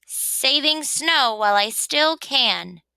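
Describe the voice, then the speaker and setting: A woman's voice: sits high, expressive, slow, gravelly, sharp tone, clear, resonant tone, dry recording, pitched above the performer's own voice, full character voice, rough recording. A woman voicing a cranky, stubborn rabbit who complains emphatically in a children's storybook read-aloud. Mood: grumbling.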